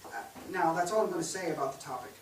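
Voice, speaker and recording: A man's voice: a little high, rapid-fire, natural voice. A man playing a formal, cautious, experienced banker in a staged play reading, with a hesitant manner. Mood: businesslike. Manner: formal, hesitant with many uhs. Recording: echoey stage, distant mic, background hiss.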